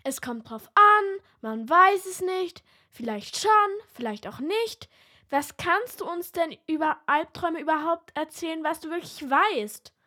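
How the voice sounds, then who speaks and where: A woman's voice: very high-pitched, expressive, measured pace, pushed and bright. A woman co-hosting a scripted children's radio show, asking cheeky, teasing questions. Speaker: co-host.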